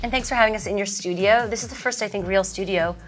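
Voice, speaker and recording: A woman's voice: mid-range voice, quick pace. Upbeat woman interviewer over music. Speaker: talk show host, interviewer. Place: studio.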